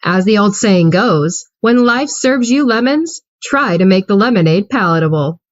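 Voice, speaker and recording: A woman's voice: medium pitch, lively intonation, normal speed, no room sound. Woman narrator, wry, dry close sound, muffled video call. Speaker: narrator and stage manager. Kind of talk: scripted introduction, amateur theater.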